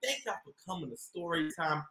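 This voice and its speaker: An American man in his thirties reading aloud to young children as a storyteller, with a theatrical, drawn-out, exclaiming delivery. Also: fairly high pitch, lively intonation, loud music behind the voice. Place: small indoor room. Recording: roomy echo.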